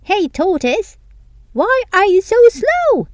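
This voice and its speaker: A woman's voice: very high-pitched, sing-song, slow, dry recording, studio quality, voice pushed up, exaggerated voice. A woman voicing a proud, teasing male hare in a children's storybook, with a boastful, taunting manner. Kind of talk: children's storybook read-aloud.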